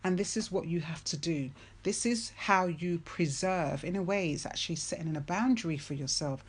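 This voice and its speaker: A woman's voice: fairly low pitch, normal speed, husky. A woman giving a faith-based motivational talk, speaking earnestly and emphatically and repeating key phrases for weight.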